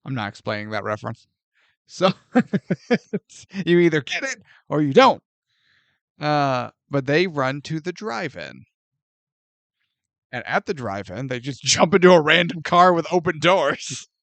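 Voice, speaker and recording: A man co-hosting a casual film review chat, animated and jokey, often slipping into mock voices. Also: fairly high pitch, unhurried, pauses often, no echo. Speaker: podcast co-host.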